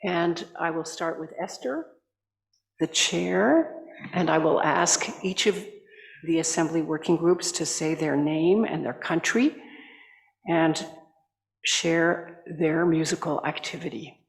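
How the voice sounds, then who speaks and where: Older lady chairing a meeting, calm and polite, lots of room echo. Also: deep voice, gravelly. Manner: measured, courteous, slightly halting. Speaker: assembly chair. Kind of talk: formal welcoming address. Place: large conference hall. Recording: echoey reverberant hall.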